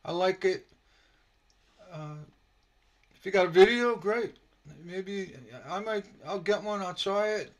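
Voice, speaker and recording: A man teaching stained glass on a casual live stream, slightly hoarse from a sore throat, relaxed and rambling with many fillers. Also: high voice, takes it slowly, pauses often. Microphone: computer mic on a live stream.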